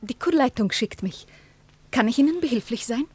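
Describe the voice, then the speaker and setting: An actress playing a provocative young Soviet spa guide who asks blunt, probing questions in clipped sentences in a radio play. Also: female voice, on the high side, animated, normal speed, a little mellow, breathy edge, no echo. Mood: polite, probing. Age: young adult.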